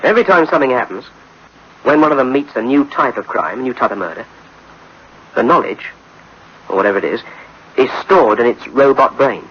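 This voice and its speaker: A man's voice: mid-range voice, animated, brisk, long pauses, a bit bright, husky. A man playing a folksy police captain in a radio drama, explaining things in a rambling, colloquial way on a muffled old recording. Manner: hesitant, repetitive, colloquial explaining. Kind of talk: 1960s-style radio drama acting.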